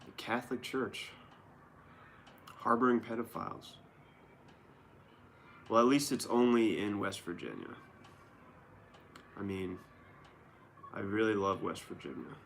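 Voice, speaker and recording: A man's voice: on the low side, measured pace, gravelly, long pauses, fairly bright tone, slight background noise. A man reading the news aloud and rambling casually about it as a show host, with sarcastic, feigned astonishment.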